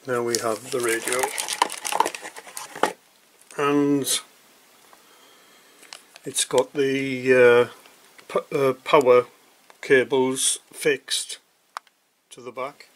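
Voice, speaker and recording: A man giving casual, hesitant unboxing commentary in short pieces with long pauses. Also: mid-range voice, little pitch movement. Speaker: hobbyist product reviewer.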